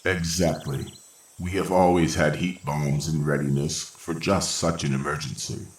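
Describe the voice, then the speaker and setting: A man's voice: rumbling low, level tone, measured pace. A man playing a cold, formal, indifferent robot in an old-time radio sci-fi drama. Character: cold, unfeeling robot in command of the underground war. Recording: echoey with faint noise.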